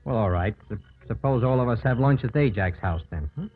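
An actor playing a genial, folksy, politely hesitant small-town attorney in a 1950s radio drama. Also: male voice, medium pitch, lively intonation, fast, slightly rough. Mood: hesitant, courteous. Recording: scratchy, tinny 1950s radio recording.

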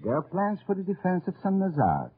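Courtly resistance fighter, lively delivery. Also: male voice, high voice, a little fast, husky, exaggerated voice. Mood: businesslike. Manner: formal, elaborately polite phrasing. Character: urbane, courtly French resistance fighter, wryly polite. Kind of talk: 1950s radio drama acting. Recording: muffled, noisy old radio recording.

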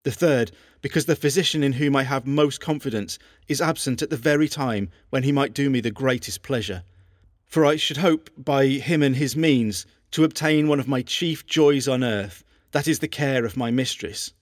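An actor playing an earnest, lovesick English king, reading love letters aloud in a measured, formal, archaic manner. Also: medium pitch, no echo, clean studio sound, natural voice. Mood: anxious, aggrieved, then hopeful, devoted. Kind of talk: dramatised reading of historical letters.

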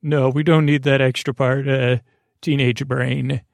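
A man hosting a bedtime podcast in a creaky voice, rambling in a soothing, halting, meandering way with casual friendly banter. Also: medium pitch, flat delivery, no echo. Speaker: podcast host.

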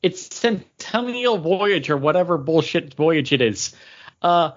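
Lively, higher-voiced man on a podcast. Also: unhurried. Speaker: podcast host. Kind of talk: casual chat. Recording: dull lo-fi podcast audio.

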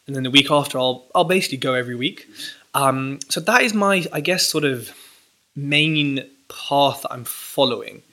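Young male guest, bright forceful tone, lively rambling delivery. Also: mid-range voice, moderate pace. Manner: animated, rambling with restarts. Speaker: podcast guest, property entrepreneur. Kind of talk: casual interview chat.

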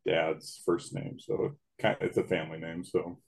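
A booming man in his thirties talks easily as a podcast guest. His voice sits very low, and he rambles with many restarts. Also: unhurried, gravelly, slightly rough. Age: 30s. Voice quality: big booming voice. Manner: relaxed, rambling, with frequent restarts. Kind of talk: casual chat.

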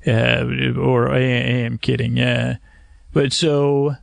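A man hosting a bedtime podcast, rambling in a halting, meandering way, often interrupting himself and getting mixed up, with a creaky, gravelly voice. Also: medium pitch, level tone, dry recording. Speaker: podcast host. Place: homemade screened outdoor porch at night.

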